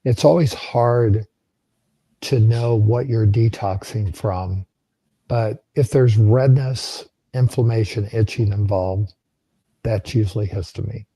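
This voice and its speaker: A hoarse man with a very dark, husky voice, explaining physiology in a live question-and-answer session. He pauses often with ums and uhs, and the sound is dry and close. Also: lowish voice, steady tone, dry recording, low-quality recording. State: hoarse, losing his voice. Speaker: co-host answering health questions.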